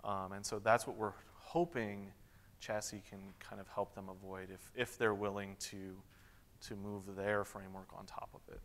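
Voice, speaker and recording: A man's voice: on the low side, normal speed, a little hiss or hum. Man answering questions on a conference stage. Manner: relaxed, many fillers and restarts. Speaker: conference keynote speaker. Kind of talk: audience Q&A at a conference. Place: large conference hall stage. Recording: long hall reverb.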